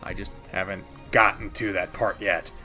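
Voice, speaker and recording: A man's voice: sits low, fairly even intonation, normal speed, sharp tone, soft background music. A man giving a casual, opinionated countdown review of games, rambling and emphatic with plenty of swearing. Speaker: video host and game reviewer.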